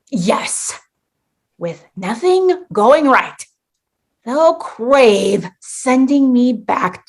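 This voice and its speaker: A woman's voice: a little high, full character voice. A woman acting in a comedic radio play as a disgruntled, petty schemer trapped in a holiday sitcom, theatrical and defiant.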